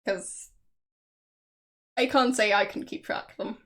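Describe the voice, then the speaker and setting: A woman's voice: medium pitch, fairly even intonation, a little slow, a bit bright. A young woman from Austria with an Austrian German accent, chatting casually with her stream viewers and laughing often. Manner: chatty, laughs often, answers chat guesses.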